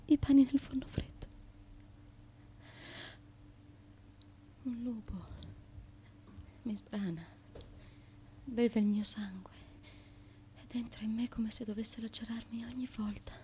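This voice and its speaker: An actress playing a fearful, haunted wife of an ancient Greek tyrant, intense and repetitive, in a theatrical radio drama. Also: female voice, on the high side, unhurried, long pauses, warm tone, a little hiss or hum, natural voice. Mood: haunted, trance-like.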